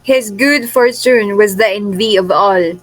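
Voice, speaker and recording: A woman's voice: medium pitch, a little slow, crowd noise, lightly acted, rough recording. A woman playing a distressed figure of an ancient royal court in a readers' theatre tragedy, declaiming earnestly. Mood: solemn. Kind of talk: school readers' theatre performance of Greek tragedy. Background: prominent music.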